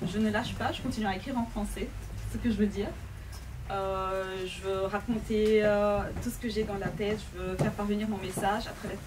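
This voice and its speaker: Woman, Arabic speaker, earnest and measured, many pauses, picked up from across a noisy bookshop. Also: medium pitch, little pitch movement, a little mellow. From Lebanon. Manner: earnest, measured, pausing often. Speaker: author at a book event. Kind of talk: reflective personal talk. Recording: distant mic with background noise.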